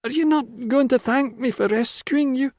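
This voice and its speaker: A man's voice: very high-pitched, moderate pace, darkish tone, no room sound, clean studio sound, pitched above the performer's own voice. A man voicing a plucky, proud little boy who talks eagerly and matter-of-factly in an audiobook. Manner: eager, matter-of-fact, self-important.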